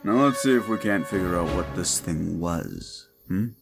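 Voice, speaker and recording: Gruff demon detective , played by a man: low, laconic wisecracker, unflappable, swinging intonation, roleplay audio drama over faint music. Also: animated, normal speed. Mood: dry, curious.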